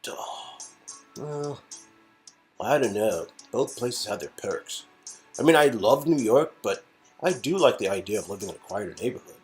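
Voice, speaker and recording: A man's voice: on the low side, lively intonation, normal speed, darkish tone, faint music behind, lightly acted. A man reading a playful, easygoing young romance-game lead with a teasing, mildly sarcastic manner. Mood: thoughtful, relaxed.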